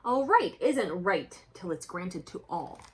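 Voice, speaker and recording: A woman's voice: on the low side, big pitch swings, slow pace. A woman reading a picture book aloud to children expressively, breaking off to explain things directly to young listeners. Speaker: children's book reader and presenter.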